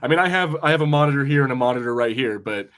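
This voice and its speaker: A man's voice: medium pitch, animated, rapid-fire, a bit bright. Casually rambling man, wry and profane. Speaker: podcast co-host. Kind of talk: casual watch-party banter and commentary.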